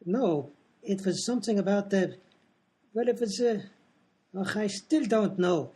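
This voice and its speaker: A man's voice: sits high, lively intonation, measured pace, dark tone, husky, exaggerated voice. A man playing a dim, placid, forgetful professor in a comedy radio play, with a muddled, hesitant, guileless manner. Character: dim, amnesiac male professor, placid and literal-minded.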